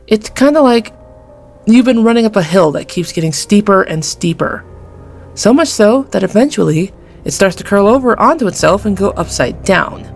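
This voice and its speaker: A woman's voice: medium pitch, a little mellow. An actress playing a blunt woman gamer who explains things in a casual way.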